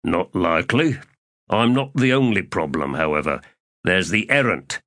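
A man voicing a weary, dry manservant in an audiobook, understated and deadpan. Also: low voice, moderate pace, dry recording. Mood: grave, weary. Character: weary, dry manservant, secretly an elder god. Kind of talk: audiobook character dialogue.

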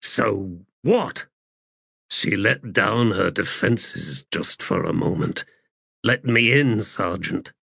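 A man performing a shaken audiobook soldier healer, speaking in halting, overwhelmed phrases. The sound is dry and close, the pitch on the low side. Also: creaky, a bit bright, husky, dry recording, heavily acted. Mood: anguished.

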